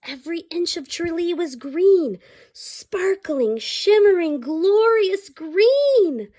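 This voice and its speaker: A woman reading a children's story aloud expressively, like a teacher, pausing to explain and ask the young listeners questions. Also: extremely high pitch, a little mellow.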